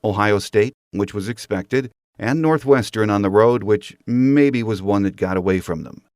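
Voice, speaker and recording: A man's voice: fairly low pitch, moderate pace, a little mellow, no echo, clean studio sound. An American man hosting a sports podcast, delivering prepared commentary and introducing his guest. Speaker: podcast host. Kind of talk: sports podcast hosting, scripted monologue and interview.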